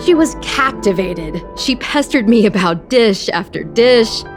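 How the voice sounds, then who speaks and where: A woman's voice: medium pitch, lively intonation, unhurried. Effusive woman chef over music. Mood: fond, admiring. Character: gracious, hearty female restaurant chef, adventurous and effusive. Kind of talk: video game voice acting. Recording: studio voice with clear music behind. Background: game music.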